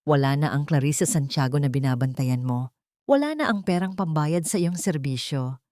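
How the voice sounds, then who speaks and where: A woman's voice: low voice, lively intonation, normal speed, mellow, no echo, clean studio sound. A woman voicing a grieving, bitter young woman in an audio drama, solemn, wounded and resolute.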